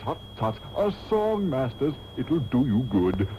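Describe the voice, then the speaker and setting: A pompous aristocrat in a comic radio serial, breezy and callous in manner. His delivery is plummy with animated pitch swings. The old tape sounds dull, with clear noise. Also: measured pace. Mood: cheerfully callous. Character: pompous, callous upper-class gentleman, breezily selfish. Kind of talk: comedic radio serial acting.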